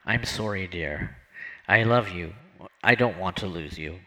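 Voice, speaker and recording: A man's voice: deep voice, unhurried, pushed and bright, rough recording. A man voicing an anxious, devoted old man who talks in an earnest, pleading way.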